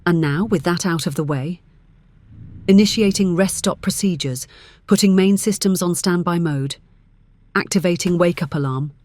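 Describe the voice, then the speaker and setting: A man's voice: sits high, normal speed, darkish tone. A man narrating a semi-scripted trucking game stream, announcing each step in a mock-formal, captain-like way.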